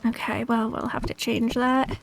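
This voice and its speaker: A woman's voice: fairly high pitch, flat delivery, normal speed, creaky, slight background noise. A woman game streamer chatting casually and thinking aloud in a tentative, musing way as she plays. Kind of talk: casual live gaming chat, thinking aloud. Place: indoors, livestream.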